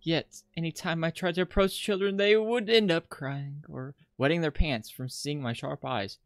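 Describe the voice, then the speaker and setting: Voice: mid-range voice, normal speed, no echo, studio quality. A streamer reading game text aloud with casual asides, stumbling over words now and then. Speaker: host of a visual novel playthrough. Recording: music behind the voice. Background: game music.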